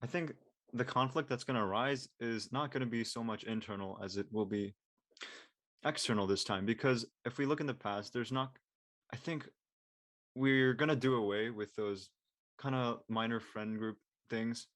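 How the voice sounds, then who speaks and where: A man's voice: medium pitch, normal speed, fairly bright tone. A man speaking as a cast member in a panel discussion, talking his thoughts through.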